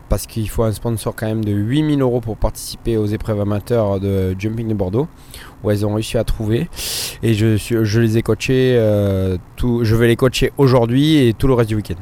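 A professional horse rider and dealer answering interview questions in a hesitant way, with many fillers. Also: male voice, medium pitch, a little mellow, clear, resonant tone.

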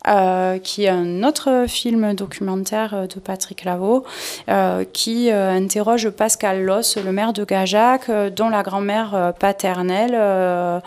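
A woman's voice: medium pitch, fairly even intonation, slow pace, darkish tone. A woman presenting an event off the cuff as an organiser being interviewed, hesitant, often repeating words as she searches for the next. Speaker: cultural festival organiser and interview guest. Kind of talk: spontaneous spoken event presentation.

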